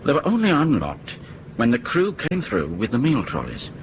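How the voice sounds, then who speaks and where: A man's voice: mid-range voice, wildly swooping pitch, moderate pace, a little hiss or hum. A man acting in a radio drama as an earnest airline crewman reporting in a hesitant, deferential manner. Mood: earnest, worried.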